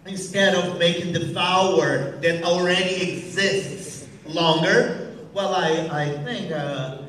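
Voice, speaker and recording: A man's voice: high voice, steady tone, slow pace, fairly bright tone, a little hiss or hum. A man with a Brazilian accent, a Portuguese speaker, hosting a teacher training event on stage, talking with many fillers and restarts. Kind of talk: on-stage talk. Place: large hall, on stage. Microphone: far audience camera. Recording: echoey hall from far off.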